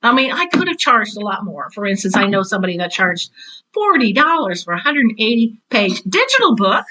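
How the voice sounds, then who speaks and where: A woman in her sixties, a retired teacher, chatting casually as an interview guest in an opinionated, animated way. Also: mid-range voice, brisk, rough recording.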